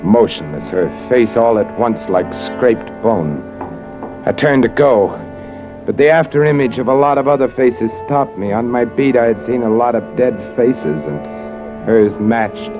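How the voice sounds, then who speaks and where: An actor playing a cold, hard-boiled newspaper columnist in a 1940s radio drama, terse and bitter, on a muffled old recording. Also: male voice, medium pitch, expressive, a little slow, music in the background, lightly acted. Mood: grim. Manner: terse, bitter, noir-style delivery.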